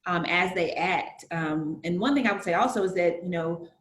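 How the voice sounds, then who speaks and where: A woman's voice: fairly low pitch, moderate pace, reverberant, slight background noise. A woman with a Southern US accent in casual conversation, earnestly explaining her points with analogies and frequent fillers. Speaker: guest.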